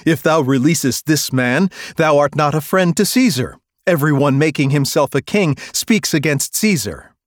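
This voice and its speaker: A man voicing an angry crowd of priests and officials in a Bible reading, shouting insistently. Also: fairly high pitch, big pitch swings, normal speed, few pauses, no echo, clean studio sound, pitched above the performer's own voice.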